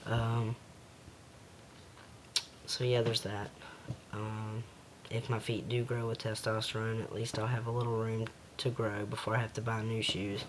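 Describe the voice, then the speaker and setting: A man with a slightly raspy voice talking hesitantly in a casual home video diary, with many pauses and fillers. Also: on the low side, little pitch movement, a little hiss or hum.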